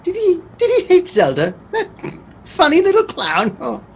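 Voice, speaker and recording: Pompous old-timey gentleman sidekick, hugely dramatic swoops, muffled audio w faint noise. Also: very high voice, huge pitch swings, moderate pace, exaggerated voice. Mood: theatrical concern. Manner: pompous, old-fashioned exclamatory phrasing. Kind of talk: old-time radio play acting.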